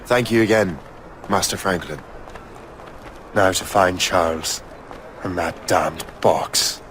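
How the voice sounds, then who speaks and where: An actor playing a guilt-laden, resolute adult assassin turned Templar in dramatic video game cutscenes. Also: male voice, deep voice, slow pace, a bit bright, a little hiss or hum. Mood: wry, guarded.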